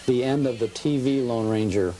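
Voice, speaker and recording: Brief: male host, mid-pitched, relaxed interviewer, faint hiss on old cable TV audio. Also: normal speed, poor audio. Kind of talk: casual interview and read commercial.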